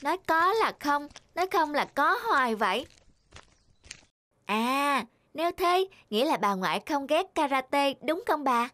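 A woman playing a plucky, inquisitive, mischievous little granddaughter in an animated film, polite but insistent. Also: sits high, measured pace, dry recording, exaggerated voice. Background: music.

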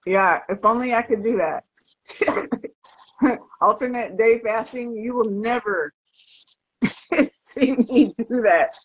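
A middle-aged American woman hosts a casual Q&A with an informal, playful delivery. Her voice sits fairly high with a raspy edge, heard through slightly dull video-call audio. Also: slow, pauses often. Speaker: host. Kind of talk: casual livestream Q&A chat. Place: living room at home, video call.

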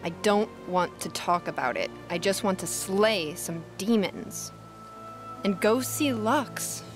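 A woman's voice: medium pitch, lively intonation, takes it slowly. A woman playing a weary, sardonic young gamer and music producer in a motion comic, with a dry delivery. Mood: wistful.

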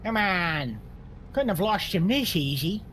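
Bumbling southern sheriff, high voice swooping everywhere, sputtering, comedy game roleplay, sirens behind. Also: male voice, big pitch swings, measured pace, a bit bright, exaggerated voice. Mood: exasperated. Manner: whooping, sputtering, repeating himself. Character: excitable, bumbling male Southern sheriff with a dog. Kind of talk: improvised comic game roleplay. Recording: sirens and vehicle noise behind. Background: police sirens, game vehicle noise.

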